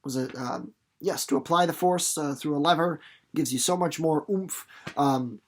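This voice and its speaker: A man reading a story aloud expressively and explaining it casually in an animated, folksy, playful teacher's manner. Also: fairly high pitch, normal speed.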